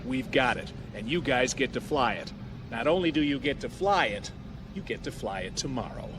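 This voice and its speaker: A man playing a cocky, gruff military pilot leader in a science-fiction audio drama, briefing in a brisk, wry, no-nonsense way. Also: medium pitch, expressive, background noise, natural voice. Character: cocky, gruff roguish general drilling pilot trainees.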